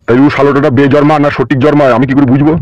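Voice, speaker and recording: A man's voice: a little high, level tone, very fast, a little mellow, no echo, muffled recording, natural voice. A man playing a coarse, argumentative village father in a comedy cartoon. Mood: angry. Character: crude, grumbling village father.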